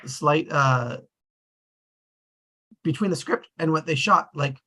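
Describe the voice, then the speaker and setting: Guy w a raspy lighter voice leading a casual group chat, kinda rambly. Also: on the high side, takes it slowly, pushed and bright. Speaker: discussion host.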